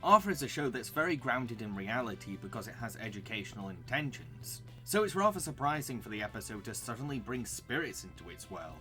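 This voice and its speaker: A man delivers scripted review narration in a very bright, forward voice at an ordinary pitch. His delivery is enthusiastic and recommending, the manner of a video host. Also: very animated, fairly quick, hardly any pauses, soft background music.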